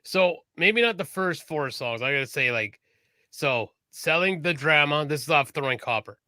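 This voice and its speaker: A man's voice: on the high side, expressive, moderate pace, pushed and bright, no echo, clean studio sound. A man co-hosting a casual live-streamed podcast, chatty and enthusiastic about music, swearing casually. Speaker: podcast co-host.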